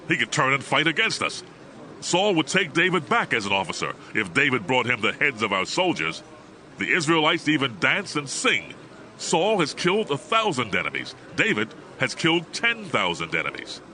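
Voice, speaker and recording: Man acting a sharp, angry army commander. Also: medium pitch, big pitch swings, normal speed. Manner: forceful, angry, shouting. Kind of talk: dramatized Bible audio acting.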